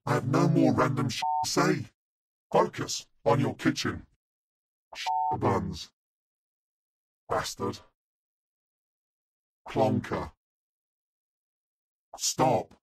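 Demonic angry chef, slow and swooping. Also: male voice, mid-range voice, a little hiss or hum. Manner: barking short insults and kitchen orders. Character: angry, foul-mouthed male celebrity chef with demonic voice. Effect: demonic voice filter. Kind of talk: mobile game character voice lines.

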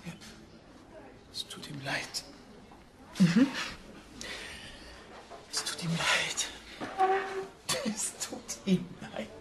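Radio drama: wounded sarcastic mistress, lowish slow voice, music behind. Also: female voice, expressive, noisy background, exaggerated voice. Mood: hurt. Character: hurt, bitter female lover.

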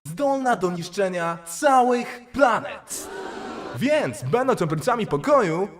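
A man's voice: sits high, sing-song, slow, music in the background, full character voice. A man playing an official, self-assured senior warrior monk in a fan parody dub. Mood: formal.

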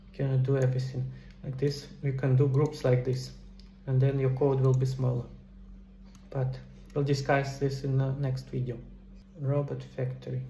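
A man's voice: medium pitch, mellow. A middle-aged man casually explaining game development steps in a screen-recorded tutorial, talking into a headset indoors. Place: small indoor room at a computer. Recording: roomy echo, faint background noise.

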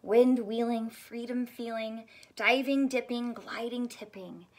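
Female children's read-aloud: high-ish voice, very unhurried pace, expressive sing-song teacher delivery. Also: slight background noise. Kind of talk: picture-book read-aloud. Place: small furnished room indoors.

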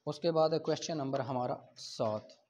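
A man's voice: mid-range voice, moderate pace, a little mellow. Pakistani guy lecturing a class, teacherly, asks then answers his own questions, dull lofi sound. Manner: didactic, asks questions and answers them himself. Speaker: law teacher. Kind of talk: classroom lecture for exam preparation. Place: small indoor classroom at a whiteboard.

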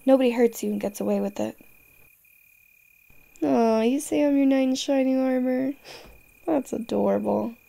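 A tough, loving young girlfriend, acted by a woman in an audio roleplay, with a fairly high voice and a noticeable creak. She talks straight to the listener, defiant and fiercely protective, in a soft-edged mellow tone. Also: moderate pace, pauses often. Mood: tender, protective. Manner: tough-talking, speaking directly to listener.

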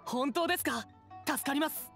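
An actor playing a dutiful, apologetic town guard, speaking politely and formally in a game. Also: male voice, very high-pitched, moderate pace, gravelly, sharp tone, soft background music, exaggerated voice. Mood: concerned, polite. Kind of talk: scripted game dialogue.

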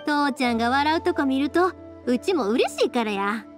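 A woman voicing a cheerful, caring young fireworks maker with a Kansai accent, brisk and expressive, in a Japanese game dub. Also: high-pitched, music in the background. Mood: warm, chatty. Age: young adult. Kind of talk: Japanese anime game dubbing.

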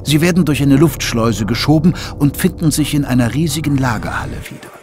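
Male storyteller over soft music. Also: mid-range voice, brisk. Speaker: narrator. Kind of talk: scripted dramatic story narration for children.

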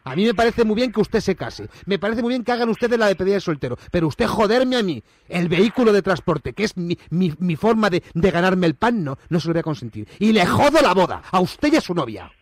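A man's voice: high-pitched, big pitch swings, fairly quick, few pauses, no room sound, voice pushed up. A man with a Spanish accent from Spain playing an indignant, bullying company manager on a prank phone call, speaking in formal, hesitant phrases or blunt, coarse threats. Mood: angry, threatening.

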